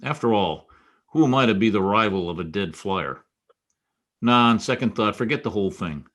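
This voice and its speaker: An actor playing a wisecracking, world-weary private detective in a noir radio drama, wry and sardonic. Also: male voice, on the low side, lively intonation, moderate pace, fairly bright tone. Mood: casual, wry. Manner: wry, sardonic, clipped tough talk. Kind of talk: old-time radio noir acting and first-person narration.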